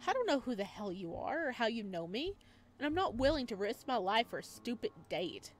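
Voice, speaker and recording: A woman acts out the defiant protagonist of a horror visual novel. The delivery is blunt and indignant, pushed louder and higher, over music. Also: sing-song, a little fast. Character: wary, irritated, defiant sick protagonist of horror game.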